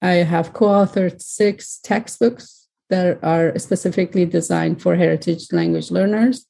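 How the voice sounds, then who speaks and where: Gravelly, husky woman panelist. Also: fairly low pitch, steady tone, unhurried, dark tone. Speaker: panelist, language school vice principal. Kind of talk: prepared panel answer.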